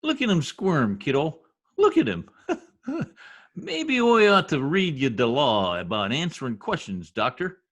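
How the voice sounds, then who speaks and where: A man plays a bungling, boastful husband in a 1950s-style radio comedy. His husky voice swoops all over as he teases with folksy bluster and mangled grammar. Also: a little high, big pitch swings, a little slow, heavily acted. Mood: smug, gloating. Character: gleeful needling blowhard husband, bungling new census taker. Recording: remote video-call radio play recording.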